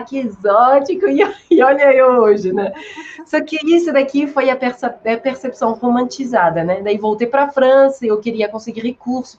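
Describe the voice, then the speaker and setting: Casting: French-accented woman, native French speaker, fairly high voice, informal slangy storyteller; slightly dull online audio. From France. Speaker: interview guest. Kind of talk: casual storytelling in a relaxed online chat.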